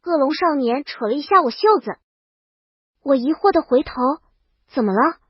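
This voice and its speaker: A woman's voice: high voice, moderate pace, no echo, muffled recording. A woman reading a comic first-person story as a scripted audiobook narration.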